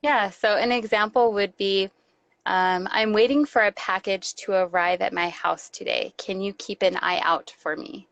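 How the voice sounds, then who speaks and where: A woman's voice: medium pitch, steady tone, normal speed, a bit bright, no echo, highs cut off. A woman from Los Angeles with an American accent, a guest teacher patiently explaining English phrases. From Los Angeles, California, USA.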